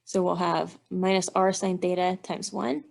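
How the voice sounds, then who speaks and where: A woman's voice: lowish voice, level tone, unhurried, creaky, a little mellow. A woman engineering instructor giving a methodical, step-by-step walkthrough, thinking aloud as she works through math.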